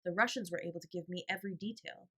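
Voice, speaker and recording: A woman's voice: lowish voice, fast talker, fairly bright tone, slightly rough. A woman voicing a cheery, kindly and thoughtful family father in a storybook reading. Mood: matter-of-fact. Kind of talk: storybook character voice.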